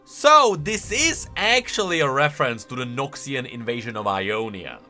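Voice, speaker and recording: Animated, bright-voiced man doing commentary. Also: medium pitch, moderate pace, faint music behind. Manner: emphatic, conversational. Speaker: video host. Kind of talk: casual explanatory game lore commentary.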